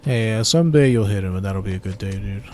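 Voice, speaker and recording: A man's voice: lowish voice, quick pace, a little mellow. Tired-voiced man in casual game commentary. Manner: laid-back, swears at mishaps, slangy. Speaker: gameplay commentator. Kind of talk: casual let's-play commentary.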